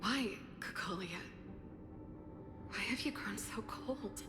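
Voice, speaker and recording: High-voiced, headstrong researcher. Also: female voice, slow pace. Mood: hurt, indignant. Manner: earnest, pleading, challenging. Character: passionate, headstrong female researcher. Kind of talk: dramatic video game voice acting. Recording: echoing hall reverb, loud music.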